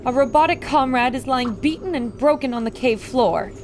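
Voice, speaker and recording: A woman's voice: sits high, moderate pace, lightly acted. A woman playing a plucky radio reporter on an expedition, earnest and theatrical in an old-time radio adventure. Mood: grave reporting. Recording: loud storm and ambient noise.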